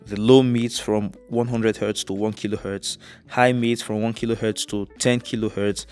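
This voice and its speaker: A young man presenting an instructional tutorial on audio gear in a measured, methodical way. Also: fairly low pitch. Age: young adult. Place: indoors, dark studio room.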